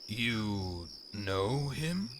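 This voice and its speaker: A man playing a cold, condescending older warlord in a video game cutscene, measured, taunting and threatening. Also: on the low side, expressive. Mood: cold menace. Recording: noisy game audio with night insects.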